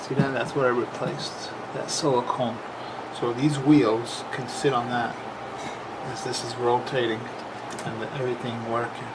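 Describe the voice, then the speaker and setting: A man's voice: mid-range voice, level tone, normal speed. A man giving a casual, unscripted hands-on repair demonstration, plain-spoken and thinking aloud as he works. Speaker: repair video host. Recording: noisy camera recording with loud background noise.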